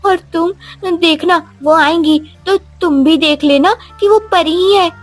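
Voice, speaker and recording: A woman voicing an innocent, timid young girl who speaks in an earnest, pleading way in an animated children's story. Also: very high voice, a little slow, faint music behind. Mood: earnest, worried.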